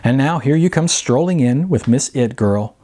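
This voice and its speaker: A man's voice: medium pitch, expressive, moderate pace, warm tone, lightly acted. A man putting on a sarcastic young actress. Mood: exasperated. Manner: exasperated, sarcastic. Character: young adult actress, wry and hurt.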